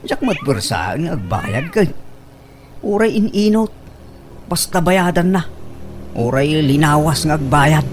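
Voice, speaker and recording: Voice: mid-range voice, animated, measured pace, pauses often, slight background noise, lightly acted. An actor playing an insistent young son-in-law demanding money in comedic radio drama, with an Ilocano accent. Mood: firm, pleading.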